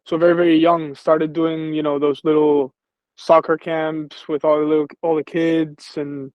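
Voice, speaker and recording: A man's voice: on the high side, little pitch movement, normal speed, clear, resonant tone, no room sound, dull audio. A man in his twenties from Venezuela, reflecting easily on his football career as an interview guest. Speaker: professional football player, guest. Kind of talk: casual interview.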